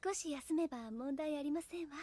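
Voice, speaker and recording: A woman's voice: high voice, a little fast, warm tone, natural voice. A woman voicing a refined, composed young noblewoman with polite, ladylike speech in an anime game, over music. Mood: reassuring. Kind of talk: Japanese anime game voice acting. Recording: music behind the voice.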